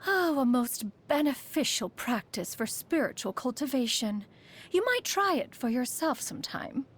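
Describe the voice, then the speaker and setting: A woman's voice: sits high, very animated, normal speed, breathy edge, full character voice. A woman playing a proud, aloof immortal adept who secretly cares, speaking in formal, archaic phrases as a video game character. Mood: serene, genteel. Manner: haughty, archaic formal speech, refers to self as "one".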